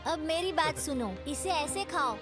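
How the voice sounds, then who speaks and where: A woman's voice: sits high, animated, unhurried, over music. A woman voicing a patient character in a dubbed children's cartoon, explaining step by step. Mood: patient, instructive. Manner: instructive, step by step.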